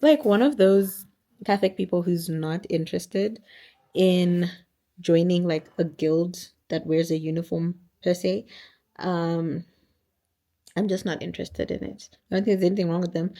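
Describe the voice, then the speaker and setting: A young Zimbabwean woman talks casually into a camera set on a stand at home, wandering through her thoughts with plenty of fillers and restarts. Her naturally deep alto sits low, and it sounds worn and strained after days of singing. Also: mellow. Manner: chatty, rambling, lots of fillers and restarts. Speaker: vlogger. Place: at home, indoors. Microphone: camera or phone on a stand.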